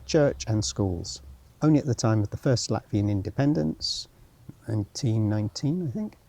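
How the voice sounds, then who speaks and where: Man, low pitch, dark relaxed tone, travel vlogger, wry musing asides, unscripted walking commentary. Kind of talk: unscripted walking travel commentary, partly reading signs aloud. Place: outdoors, walking around a former military town.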